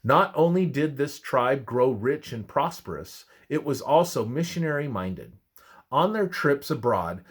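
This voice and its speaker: A man reading a scripted religious study with scripture citations in a measured, expressive, oratorical manner. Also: mid-range voice, breathy edge.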